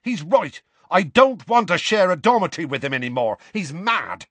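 A man voicing a hostile teenage boy who snaps and yells in disgust. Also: high voice, sing-song, moderate pace, no echo, pitched above the performer's own voice, full character voice. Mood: heated. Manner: snapping, yelling, contemptuous.

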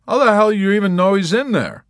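A man voicing a sarcastic young soldier in a hospital bed who needles everyone with loud, mocking questions. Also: sits high, lively intonation, a little fast, no echo, studio quality, pitched above the performer's own voice. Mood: needling.

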